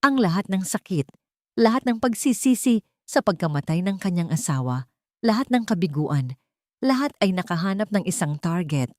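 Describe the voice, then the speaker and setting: A woman narrating a scripted audio drama in a measured, dramatic manner, pausing for suspense. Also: on the low side, very animated, darkish tone, dry recording, studio quality. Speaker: story narrator.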